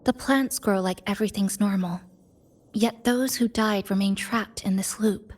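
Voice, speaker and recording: An actress playing a composed young woman in a video game, speaking in a measured, reflective way. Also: medium pitch, darkish tone, lightly acted. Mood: serious, reflective. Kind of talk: video game cutscene voice acting.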